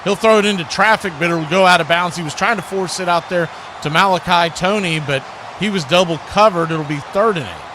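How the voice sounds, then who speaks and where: A man with a fairly high voice gives live radio play-by-play of a football game. He works as the announcer, describing the action as it happens. Clear background noise sits behind his voice. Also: a little fast.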